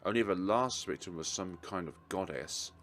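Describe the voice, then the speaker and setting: A man's voice: deep voice, moderate pace, fairly bright tone, faint music behind. A man voicing a bewildered young male detective in a visual novel, urgent, questioning and defiant. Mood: agitated, defiant.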